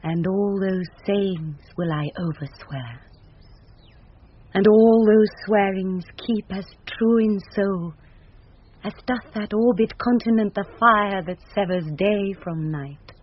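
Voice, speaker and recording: An earnest young woman in boy's disguise, in 1970s radio Shakespeare. She speaks measured verse in a breathy mid-pitched voice with a British accent, on a dull old recording. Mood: devoted.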